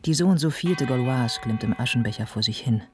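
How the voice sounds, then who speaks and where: Radio play narrator: measured, sombre woman observing a writer's life, prominent music behind. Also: extremely low pitch, expressive. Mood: observant. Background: prominent instrumental music.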